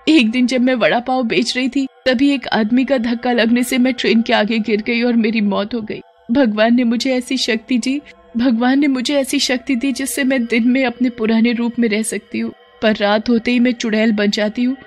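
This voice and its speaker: A woman voicing a devoted mother who has become a witch-ghost, speaking softly and pleadingly in a cartoon story. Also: on the high side, fairly even intonation, normal speed, soft background music, pitched above the performer's own voice, close to an everyday voice.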